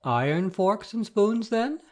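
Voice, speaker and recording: A woman playing a gentle, grave elderly male bishop with a deliberate, mild delivery in a classic audiobook drama. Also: medium pitch, lively intonation. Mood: mildly amused. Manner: deliberate, mild, pausing between phrases.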